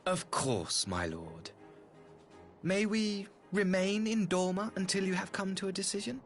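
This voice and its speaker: Game role: polished, scheming diplomat, slightly high voice with wide swoops, eloquent and theatrical. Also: male voice, expressive, unhurried. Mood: polite, calculating. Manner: formal, eloquent, theatrical, archaic diction. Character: smooth, courteous, calculating imperial ambassador. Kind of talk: scripted video game voice acting. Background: background music.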